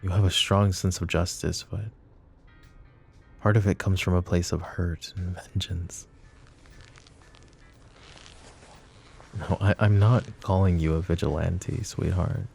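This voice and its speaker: Mafia boss, played by a male actor: very low, dark, coaxing and possessive, for intimate ASMR roleplay. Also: fairly even intonation, soft background music. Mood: gentle, intense. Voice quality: low, gravelly murmur. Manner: deliberate, coaxing, philosophizing.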